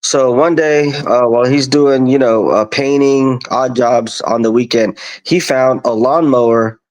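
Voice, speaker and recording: A man in his fifties from Kansas, born in Colombia, telling his story casually and deliberately as a podcast guest. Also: mid-range voice, steady tone, a little mellow, rough recording. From born in Colombia, raised in Kansas, USA. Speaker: podcast guest, lawn business owner.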